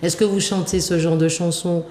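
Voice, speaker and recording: A woman's voice: lowish voice, little pitch movement, moderate pace, dark tone. A woman hosting a chatty talk show, teasing and playful with her guests. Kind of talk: chatty interview. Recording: echoey hall with faint noise.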